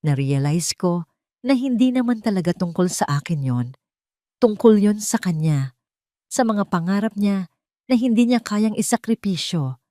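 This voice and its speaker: A woman's voice: medium pitch, lively intonation, moderate pace, warm tone, no echo, studio quality, lightly acted. Woman voicing a heartbroken, earnest Filipino man confiding. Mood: accepting, tender. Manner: confessional, code-switching into English. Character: heartbroken, earnest, gentle young man confiding his past.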